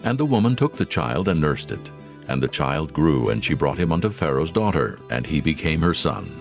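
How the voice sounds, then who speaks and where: A man narrates scripture in a solemn, scripted manner. His voice is profoundly deep and creaky, with lively rises and falls. It suits a dramatized audio Bible. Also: moderate pace, faint music behind.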